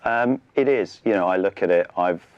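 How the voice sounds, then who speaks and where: Deep-voiced man, slightly muffled. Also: moderate pace, clear, resonant tone, no room sound. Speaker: interview guest, charity sailor. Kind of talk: conversational studio interview answers. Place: television studio.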